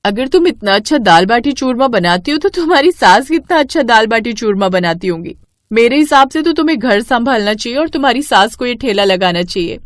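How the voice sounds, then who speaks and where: A woman's voice: a little high, animated, a little fast, dry recording, lightly acted. A woman voicing a kind, frank mother who is a customer in a moral story. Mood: frank, approving.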